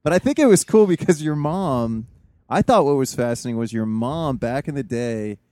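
Male podcast host, rambling, hesitant, mid pitch, dry. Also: expressive, normal speed, a little mellow, no echo. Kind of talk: casual interview.

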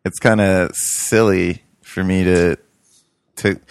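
A man's voice: sits low, steady tone, takes it slowly, gravelly, smooth, no room sound. A young man chatting casually about his urban farm, rambling with lots of fillers and restarts. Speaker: podcast co-host, urban farmer. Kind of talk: casual chat.

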